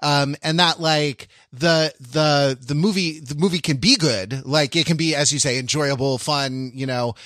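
A man co-hosting a podcast in casual chat, musing philosophically and halting often with fillers as he works out his ideas. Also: a little high, sharp tone, no room sound, clean studio sound. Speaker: podcast co-host.

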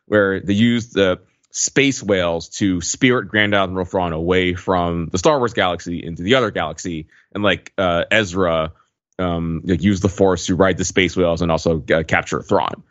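A man's voice: low voice, moderate pace, fairly bright tone, no room sound, muffled recording. A man co-hosting a podcast, talking casually and analytically about pop culture, with lots of "like" and "right" in his phrasing. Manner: hedging, filler-heavy phrasing with frequent "like" and "right". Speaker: podcast co-host.

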